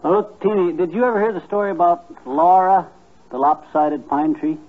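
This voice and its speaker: An actor playing a middle-aged, blustery, fibbing husband in a 1950s radio comedy, talking in a folksy, colloquial manner. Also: male voice, high voice, normal speed. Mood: folksy storytelling. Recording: muffled, narrow-band 1950s radio recording.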